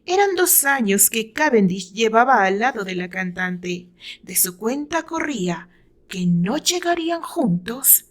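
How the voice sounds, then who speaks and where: High-voiced Mexican woman narrating fiction. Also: very animated, unhurried. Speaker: author reading her own fiction. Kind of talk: dramatic scripted narration.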